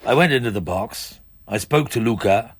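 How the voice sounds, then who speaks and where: A man's voice: on the low side, lively intonation, moderate pace, slight background noise, close to an everyday voice. An actor playing a wry, observant French police chief inspector who recounts his case conversationally in a radio drama. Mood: reflective narrating. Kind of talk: radio drama acting with first-person narration.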